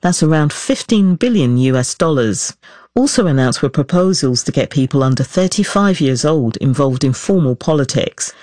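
A man hosting a documentary podcast, reading scripted narration in a clear, emphatic way. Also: on the high side, lively intonation, moderate pace, a little mellow, dry recording.

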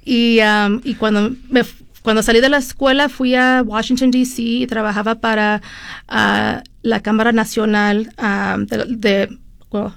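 Chatty higher-voiced woman from California. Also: level tone, measured pace, creaky, no echo. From California, USA. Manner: chatty, with frequent fillers and switches into English. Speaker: interview guest, lawyer. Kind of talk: conversational storytelling.

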